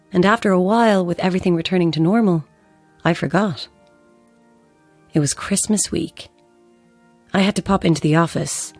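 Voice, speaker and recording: A bereaved Irish mother, recounts a haunting in a measured, grave way. Her voice sits slightly low, with music underneath, as in an audio drama. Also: a little mellow.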